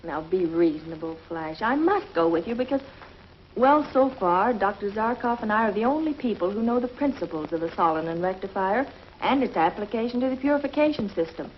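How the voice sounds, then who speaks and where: A woman's voice: mid-range voice, animated, fairly quick, close to an everyday voice. Actress doing a breezy self-assured lady scientist, dull old tv audio, bit of hiss. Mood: reassuring. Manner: reassuring, breezy, confident. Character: confident young female scientist companion. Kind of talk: 1950s TV drama acting.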